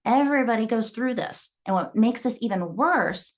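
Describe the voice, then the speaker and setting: A woman's voice: on the high side, animated, moderate pace. Earnest woman from Guatemala coaching fitness. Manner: earnest, storytelling. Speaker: fitness coach and gym owner. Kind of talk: motivational talk.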